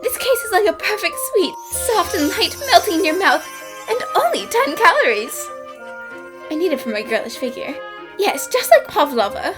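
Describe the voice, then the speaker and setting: A woman's voice: very high voice, very animated, moderate pace, over music, rough recording. A woman voicing a bubbly, food-obsessed young detective in a cartoon fan dub, confident, whimsical and theatrical. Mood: triumphant. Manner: theatrical, exclamatory, playful. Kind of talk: anime-style fan dub acting.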